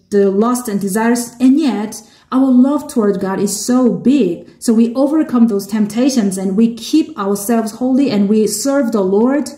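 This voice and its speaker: A woman with a Korean accent interpreting a sermon into English, measured and halting, with restarts. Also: a little high, warm tone, echoey room.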